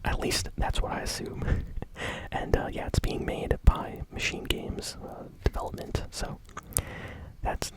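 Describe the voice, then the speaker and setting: An ASMR host shares gaming news entirely in a soft whisper, with no voiced tone. The phrasing is informal and a little hesitant, yet keen and enthusiastic. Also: unhurried, low-quality recording. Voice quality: soft unvoiced whisper throughout.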